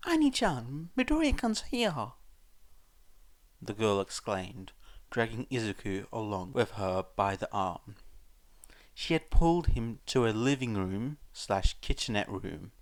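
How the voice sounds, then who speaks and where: A man's voice: fairly low pitch, measured pace, dry recording. A man reading scripted fiction aloud as an audiobook-style narrator. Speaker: podfic narrator.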